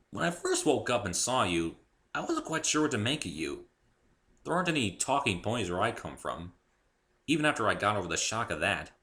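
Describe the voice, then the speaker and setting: A man's voice: fairly low pitch, sharp tone, exaggerated voice. A man voicing a polite, unsure young geeky man in a story reading, speaking in a hesitant, earnest way.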